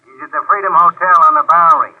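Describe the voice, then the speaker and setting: A man's voice: a little high, fast. An actor in a 1950s radio drama playing an anonymous male caller who disguises his voice, speaking curt and evasive street talk over a telephone. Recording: tinny phone-line 1950s radio.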